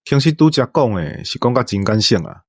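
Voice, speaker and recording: Male podcast host, Taiwanese accent, mid-range pitch, explanatory talk, dry studio-like sound. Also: animated, a little fast, dry recording.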